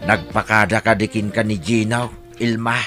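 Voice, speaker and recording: A man's voice: on the low side, fairly even intonation, moderate pace, over music, close to an everyday voice. An actor playing a stern, worried older father in an Ilocano radio drama, earnest and admonishing, with an Ilocano accent. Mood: concerned, reproachful.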